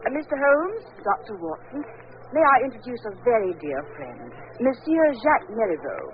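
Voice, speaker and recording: A woman's voice: fairly high pitch, very animated, normal speed. A woman playing a gracious, elegant society hostess, in 1940s radio drama style. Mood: gracious, charming. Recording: noisy, tinny old 1940s radio recording.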